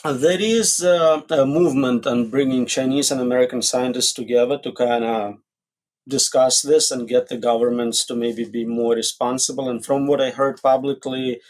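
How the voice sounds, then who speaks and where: A man answering as an expert guest in an interview, explaining in short, plain statements with examples. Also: medium pitch. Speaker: interview guest, AI safety researcher.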